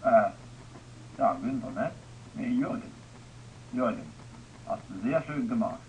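A man's voice: mid-range voice, lively intonation, a little slow. A man chatting casually as a participant on an internet radio channel. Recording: noisy internet radio audio, distant.

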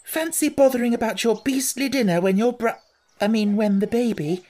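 Brief: reader playing a high, anxious little girl, pleading. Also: lively intonation, normal speed, close to an everyday voice. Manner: pleading, urgent.

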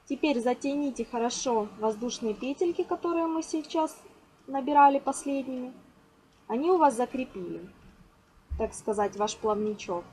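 A woman with a high-pitched, very animated voice leads a hands-on craft tutorial. She explains informally, step by step, using cute diminutives. Clear background noise sits behind her in an amateur home recording. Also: poor audio. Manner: instructional, counts aloud, uses affectionate diminutives. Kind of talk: step-by-step crochet lesson, informal narration. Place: indoors at a table.

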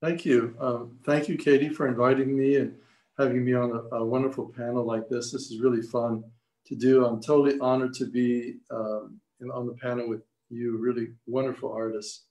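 A man in his sixties, born in Greece, speaking on a panel as an artist and priest, halting with many fillers and restarts over a video call. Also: mid-range voice, little pitch movement, darkish tone. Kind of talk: casual panel talk. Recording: roomy echo on call audio.

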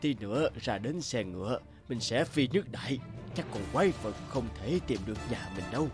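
Cartoon merchant dad, male actor: middle-aged, kind, fretful, hesitant, mid-pitched, over a strong music bed. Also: expressive, fairly quick, natural voice. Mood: uneasy scheming. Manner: hesitant, sighing. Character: anxious middle-aged merchant father, gentle and worried. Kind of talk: cartoon fairy-tale dub acting. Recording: loud music bed behind voice.

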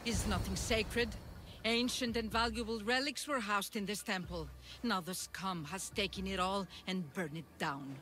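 An outraged temple keeper from a game, high bright voice, scornful and emphatic. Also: male voice, unhurried. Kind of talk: video game cutscene acting.

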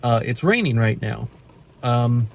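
A man's voice: medium pitch, expressive, a little slow, creaky. A man in his thirties from the Pacific Northwest, vlogging to a phone camera from inside his car at night, talking in a chatty, matter-of-fact way with lots of uhs. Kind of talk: casual vlog talk to camera. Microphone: phone camera at arm's length.

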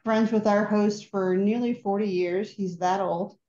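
Middle-aged woman, slow and mellow, echoey dull webcam audio. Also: mid-range voice, steady tone, slight background noise. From Indiana. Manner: lightly teasing, with fillers. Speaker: panelist, business owner. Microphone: webcam or computer mic on video call.

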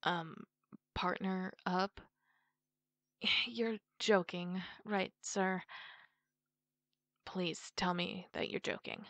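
A woman's voice: lowish voice, fairly even intonation, extremely slow, pauses often, fairly bright tone, no echo, heavily acted. A woman voicing a stern, blunt part-wolf army officer who trains knights, in a scripted roleplay audio drama. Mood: exasperated. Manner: tough, bossy, blunt.

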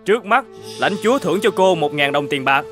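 A man voicing a greedy, scheming middle-aged feudal lord in a cartoon, lively and given to shouting. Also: on the high side, brisk. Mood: commanding. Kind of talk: cartoon dubbing. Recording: music playing under the voice.

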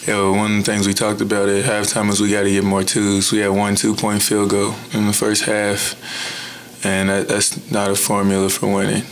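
Young male athlete, low voice, monotone, blunt and measured at a press table mic. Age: young adult. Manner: measured, even, blunt, frequent "you know". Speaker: college basketball player. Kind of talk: postgame press conference answers. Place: indoor press room. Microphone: table mic in front.

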